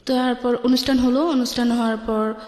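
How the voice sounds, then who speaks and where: A woman with a high voice and a Bangladeshi accent shares a personal story as a guest. She speaks colloquially, replaying conversations by quoting each side. The recording is very echoey, with a long reverb tail. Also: fairly even intonation, a little fast, darkish tone. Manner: recounts conversations by quoting both sides. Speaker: guest telling her life story.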